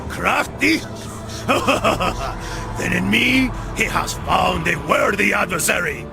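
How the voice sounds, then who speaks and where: A cocky fighter in a crusade video game. The voice is high and creaky, full of incredulous swagger, with music playing behind. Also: male voice, takes it slowly, full character voice. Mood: cocky amusement. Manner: incredulous, theatrical bravado. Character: wry, cocky Spanish male crusade fighter. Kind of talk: dramatic video game acting.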